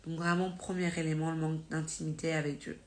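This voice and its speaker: A woman's voice: deep voice, flat delivery, fast talker. A woman with a slight cold, teaching about faith on a live stream in an informal, conversational way, full of fillers and self-corrections. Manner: earnest and encouraging.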